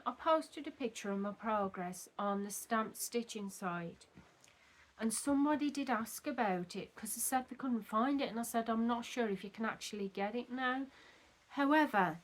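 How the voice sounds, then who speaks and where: Slow-talking woman, slightly croaky. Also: medium pitch. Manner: rambling, chatty, with restarts. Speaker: cross-stitch vlogger. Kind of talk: casual chat showing craft progress. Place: at home at a table.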